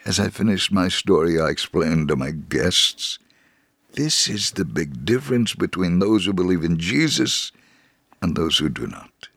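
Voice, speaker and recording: A man narrates a first-person account in a low, gravelly voice. He reads from a script with measured, deliberate storytelling, and the sound is close and dry. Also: expressive, a bit bright, no room sound.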